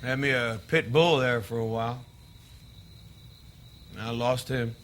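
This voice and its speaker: A menacing American drifter, sharp-voiced. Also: male voice, mid-range voice, slow. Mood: idle, brooding. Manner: gruff, needling, profane. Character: menacing, restless drifter, volatile resentful older brother. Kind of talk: naturalistic stage-play acting. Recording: noisy recording, chirping crickets behind.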